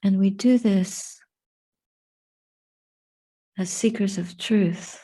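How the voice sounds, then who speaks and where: Slow, very soft, breathy woman guiding meditation. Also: medium pitch, fairly even intonation. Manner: contemplative, measured phrases with long pauses. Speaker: Buddhist nun and meditation teacher. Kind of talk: guided meditation and dhamma reflection.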